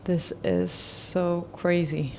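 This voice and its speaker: Low-voiced enthusiastic woman hosting. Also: sing-song, very slow, warm tone, slight background noise. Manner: enthusiastic, admiring. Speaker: host and interviewer. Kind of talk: casual vlog commentary and interviewing.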